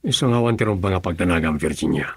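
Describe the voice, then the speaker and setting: Guy doing calm cop, super deep raspy voice. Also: quick pace, no room sound, heavily acted. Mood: reassuring.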